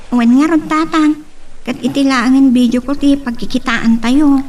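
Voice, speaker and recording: A woman plays a dutiful young Ilocano daughter, a student with a fairly high voice. She speaks earnestly in a homemade audio drama. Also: fairly even intonation, moderate pace, darkish tone, recorded from a distance, close to an everyday voice.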